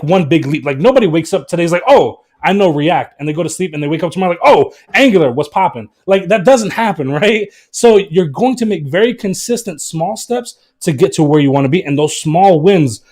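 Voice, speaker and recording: A man speaking as a guest on a casual live stream, energetic and emphatic, in a motivational way. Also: on the high side.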